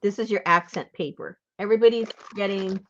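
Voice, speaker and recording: A woman's voice: mid-range voice, fairly even intonation, brisk, a bit bright, muffled recording. Chatty woman showing craft papers. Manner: chatty, laughs often, shows papers to camera. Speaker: host selling journal kits. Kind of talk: casual live craft show.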